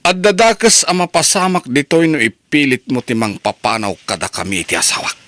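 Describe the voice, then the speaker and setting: A man's voice: medium pitch, fairly quick, fairly bright tone, natural voice. A man playing a defiant, hot-tempered nephew in a family radio drama, confrontational and menacing. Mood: threatening. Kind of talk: Ilocano radio drama acting.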